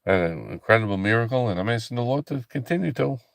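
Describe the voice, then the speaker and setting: A tired man hosting a late-night ministry livestream, talking slowly and softly with a calm, relaxing voice, with many pauses and restarts. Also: medium pitch, creaky, no room sound. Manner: slow, halting, soft-spoken, many fillers and restarts. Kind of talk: casual late-night livestream chat with viewers.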